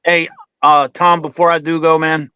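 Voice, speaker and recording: A man's voice: a little high, little pitch movement, normal speed, sharp tone, smooth, dry recording, studio quality. A man in his fifties, a guest on an online show, chatting loosely and telling stories, often calling his host "man". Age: 50s. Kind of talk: casual interview chat.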